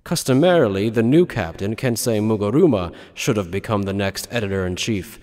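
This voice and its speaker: A man's voice: lowish voice, lively intonation, fairly quick, darkish tone. A man reading scripted dramatic audiobook narration. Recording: long reverberant tail on voice.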